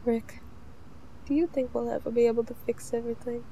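Creaky, high-voiced worried mother woman acting. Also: little pitch movement, a little fast, mellow, slight background noise, poor audio. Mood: wistful, worried. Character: worried, wistful mother among apocalypse survivors. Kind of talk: amateur comic-dub voice acting.

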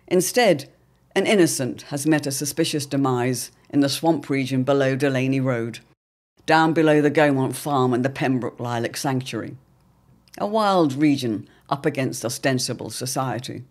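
A man narrating an audio drama serial in a measured, portentous, dramatic storytelling style. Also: medium pitch. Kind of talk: dramatic scripted narration, old radio serial. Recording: clear background ambient noise.

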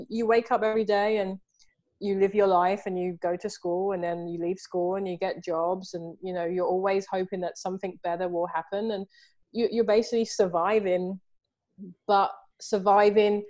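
Animated woman on a video call. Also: mid-range voice, muffled recording. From Southeast London, England. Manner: animated, gestures a lot, many fillers like "you know". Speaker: artist guest. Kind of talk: casual interview over video call. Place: home room with books and artwork behind. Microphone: video call, earphones.

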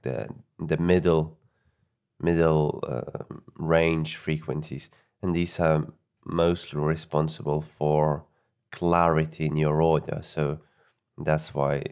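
A man narrating a software tutorial off the cuff, halting and often repeating words. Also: a bass voice. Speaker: audio editing tutorial presenter.

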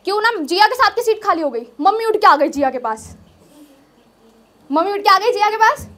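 A woman's voice: very high voice, expressive, brisk, fairly bright tone. A young woman doing conversational observational stand-up, repeating phrases for emphasis into a handheld mic. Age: young adult. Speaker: stand-up comedian. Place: small indoor open-mic stage. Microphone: handheld microphone.

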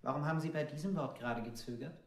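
Casting: male actor for badgering male interrogator, fairly high voice, echoey radio drama. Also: fast, darkish tone, lightly acted. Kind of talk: avant-garde German radio play acting.

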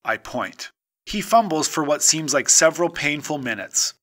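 A man reading a short story aloud as an audiobook narrator, with a measured, dramatic delivery and pauses. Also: fairly high pitch, lively intonation, pushed and bright.

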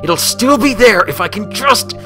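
A man voicing a brash, determined young female pegasus pony in a storybook reading, loud and urgent. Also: high voice, expressive, moderate pace, music in the background, voice pushed up. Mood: stubborn, straining.

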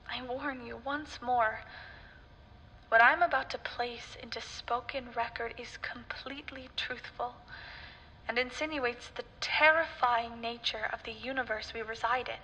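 A woman playing a haunted scholar in a horror radio drama, narrating in a solemn, deliberate, foreboding way. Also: a little high, pushed and bright, a little hiss or hum, low-quality recording. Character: grave, haunted scholar recounting horrors, ominous.

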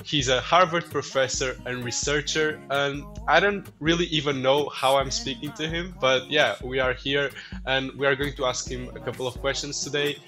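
Bright-voiced young podcast host. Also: male voice, mid-range voice, steady tone, moderate pace, few pauses, soft background music. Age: young adult. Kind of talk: casual interview hosting. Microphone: video call earbuds.

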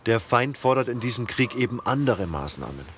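A man playing a calm, strategic leader of survivors who explains things in a measured way in an audio drama. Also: mid-range voice, slight background noise. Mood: earnest, calm.

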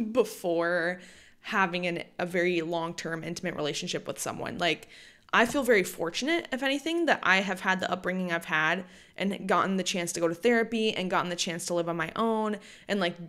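A woman's voice: fairly low pitch, fairly bright tone. A woman in her late twenties hosting a solo podcast, talking casually with lots of "like" fillers. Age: late 20s. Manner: chatty, frequent "like" fillers. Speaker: podcast host.

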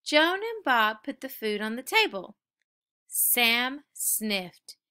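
A woman reading a children's story aloud with expressive, dramatic emphasis for young listeners. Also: on the high side, slow pace, clean tone.

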